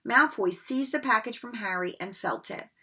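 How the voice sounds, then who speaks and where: A woman reading a children's novel aloud in an expressive storybook manner, with occasional slips and rereads. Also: on the high side, moderate pace, breathy edge. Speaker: read-aloud narrator.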